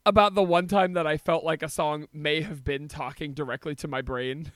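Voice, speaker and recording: A man's voice: fairly high pitch, steady tone, moderate pace, a bit bright, no room sound, studio quality. A man, probably in his thirties, co-hosting a podcast in casual comedic chat, joking and often laughing as he talks. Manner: jokey, laughs often while talking. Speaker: podcast co-host.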